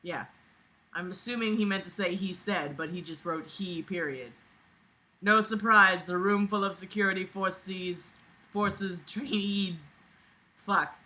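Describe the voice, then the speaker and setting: A woman with a very bright, piercing voice reads a book aloud for an online audience. She comments as she goes in a sarcastic, exasperated and freely swearing manner. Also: medium pitch, unhurried. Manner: sarcastic, exasperated, foul-mouthed.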